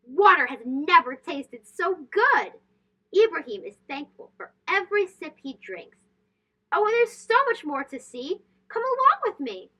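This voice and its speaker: Lady doing a kids read-aloud, high bright voice, really expressive and sing-song. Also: low-quality recording. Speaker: children's read-aloud host. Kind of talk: animated storybook read-aloud for young children.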